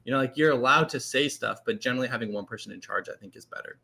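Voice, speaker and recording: A man guesting as a team shot caller, talking loudly in a rambling, filler-heavy casual way. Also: medium pitch, very fast, vocal fry, husky. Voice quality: loud voice. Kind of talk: casual panel chat.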